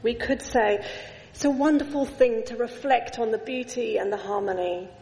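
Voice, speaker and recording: A middle-aged woman preaching a sermon in a church, measured, with slow emphatic lists. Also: on the high side, darkish tone. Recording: echoey church hall.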